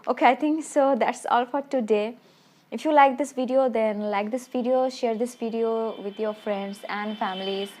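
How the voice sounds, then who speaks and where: A woman's voice: on the high side, moderate pace, darkish tone. A woman who rambles through a casual product review, full of restarts and fillers, a little self-conscious. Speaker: beauty vlogger.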